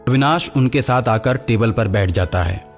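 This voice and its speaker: A man's voice: mid-range voice, moderate pace. A man narrating a scripted story as its narrator. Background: music.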